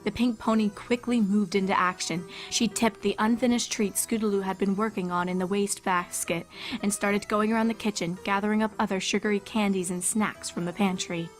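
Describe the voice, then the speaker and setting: Woman narrating a story over soft music. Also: medium pitch, steady tone, a little fast. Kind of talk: scripted storybook narration of fan fiction. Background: background music.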